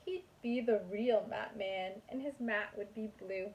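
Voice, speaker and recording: A woman's voice: mid-range voice, unhurried, darkish tone, low-quality recording. A woman kindergarten teacher reading a picture book aloud to young children in a sing-song manner.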